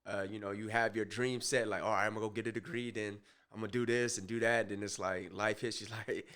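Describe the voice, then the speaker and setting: Man, twenties, American accent, podcast guest, bright forward voice, clean and resonant, casual slangy talk. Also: fairly low pitch, steady tone, quick pace, smooth. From grew up in Germany, American family. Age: 20s. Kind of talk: casual chat interview.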